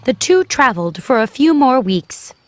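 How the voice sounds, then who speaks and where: A woman's voice: high-pitched, sing-song, moderate pace. Woman narrating a children's story over music.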